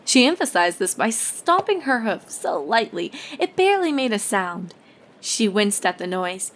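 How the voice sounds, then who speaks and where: A woman's voice: medium pitch, very animated, a little slow. A woman reading scripted story narration as the narrator of a fan fiction tale.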